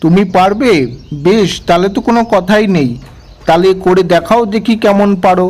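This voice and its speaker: A man's voice: high-pitched, normal speed, darkish tone, a little hiss or hum, lightly acted. A man voicing an authoritative cartoon village headman, commanding and formal, with music underneath. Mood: stern, deliberate. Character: authoritative male village headman judging a dispute.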